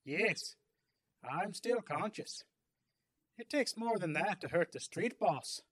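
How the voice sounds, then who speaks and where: A man's voice: sits high, animated, slow pace, fairly bright tone, husky, voice pushed up, full character voice. A man voicing a smug, theatrical crime boss villain with a grandiose, taunting manner in an audiobook.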